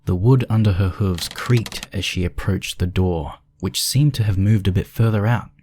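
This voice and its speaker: A man's voice: low voice, normal speed, dark tone, slight background noise. A man reading scripted narration for a spooky fan fiction story.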